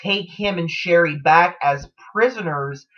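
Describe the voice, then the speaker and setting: A man's voice: a little high, measured pace, fairly bright tone. A man giving casual, speculative commentary as a vlog host, in a hesitant, rambling manner. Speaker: host of a TV show theory vlog. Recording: dull, lo-fi recording.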